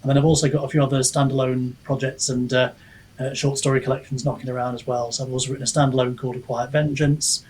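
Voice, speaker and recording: A man's voice: medium pitch, little pitch movement, fast, a little mellow. Man chatting over background noise. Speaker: guest author, fantasy writer and book reviewer. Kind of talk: casual podcast interview.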